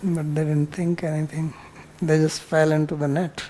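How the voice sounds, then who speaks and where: An older man teaching a spiritual class and answering students' questions in a calm, plain-spoken way with dry humor. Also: on the high side, normal speed, slight background noise.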